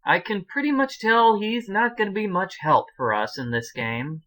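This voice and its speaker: A man's voice: high-pitched, animated, normal speed, pushed and bright. A man giving casual, chatty, jokey commentary as he hosts a video game walkthrough.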